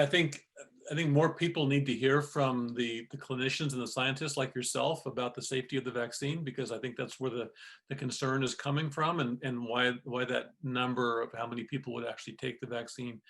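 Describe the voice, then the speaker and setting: Halting man with a creaky voice. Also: medium pitch, fairly bright tone. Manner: halting, repeats words as he searches for phrasing. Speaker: moderator, chief executive of a trade council. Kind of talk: moderated discussion, conversational.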